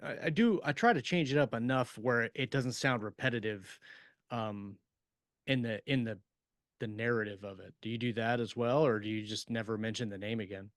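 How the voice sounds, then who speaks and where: A man chats casually as a podcast host. His voice sits at a middling pitch, recorded very dry with hardly any room sound. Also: moderate pace, no room sound. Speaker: podcast host and fiction writer. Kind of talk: casual shop talk.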